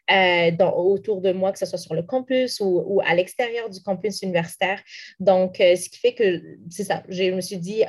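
A woman's voice: on the low side, a little mellow. Earnest young woman from Morocco. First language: Arabic. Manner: earnest, many restarts and fillers. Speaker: interview guest, community activist. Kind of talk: interview answers.

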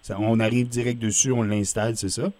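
A man's voice: mid-range voice, flat delivery, brisk, a little mellow, no room sound. A man with a Canadian French accent hosting a casual, upbeat interview as a radio host.

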